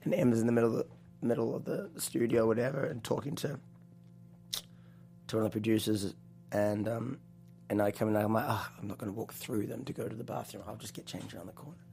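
A man with a New Zealand accent, a choreographer telling stories as an interview guest, animated, laughing often and restarting his sentences. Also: on the low side, fast talker, gravelly. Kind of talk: casual interview chat.

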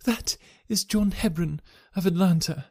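A man voicing a Victorian wife in a high, falsetto-like voice, imploring and firm. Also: unhurried, mellow. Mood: proud. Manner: imploring, then proud and firm. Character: proud, pleading Victorian wife, woman.

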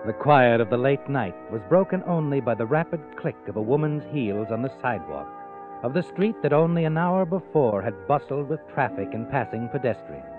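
Actor as ominous old-time radio mystery narrator. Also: male voice, medium pitch, sing-song, brisk, close to an everyday voice. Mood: grave, suspenseful. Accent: American. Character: mysterious male omniscient narrator of dark tales. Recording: vintage radio, narrow phone-like band, music behind.

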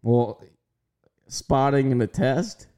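A man's voice: medium pitch, expressive, slow, warm tone, smooth. A young man co-hosting a podcast, chatting casually and rambling with a laid-back manner. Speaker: podcast co-host. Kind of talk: casual chat.

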